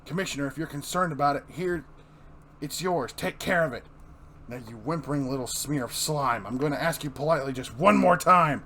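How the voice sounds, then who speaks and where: A man's voice: fairly high pitch, a little fast, sharp tone. Man reading as grim, brooding vigilante, noisy recording. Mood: cold, threatening. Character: grim, brooding male vigilante hero, earnest and threatening. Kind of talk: amateur dramatic comic book reading.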